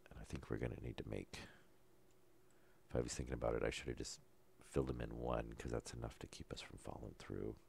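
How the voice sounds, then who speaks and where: A man calmly talking through a survival game as he plays, low-key and unhurried, with no put-on enthusiasm. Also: creaky, slight background noise. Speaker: gameplay commentator. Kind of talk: casual let's-play commentary.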